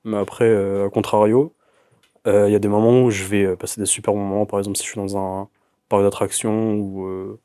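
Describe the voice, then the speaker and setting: A young man of nineteen, a guest on a youth panel, answering in a thoughtful, measured way. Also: lowish voice, flat delivery, mellow, clear, resonant tone, dry recording, clean studio sound. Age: young adult. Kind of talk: casual discussion.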